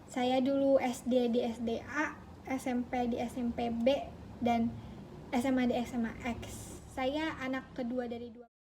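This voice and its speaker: A woman's voice: a little high, little pitch movement, unhurried, dark tone, poor audio. A young adult woman with an Indonesian accent presenting scripted tips as a vlog host, chatty and explanatory, speaking straight to viewers. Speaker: student vlog host giving interview tips. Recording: faint background noise.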